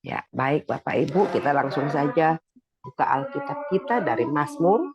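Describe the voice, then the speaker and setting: A preacher giving a sermon with a stuffy, nasal voice while recovering from illness, pitching up and getting louder as they explain a point. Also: expressive, moderate pace, muffled recording. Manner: rises in pitch and volume when explaining.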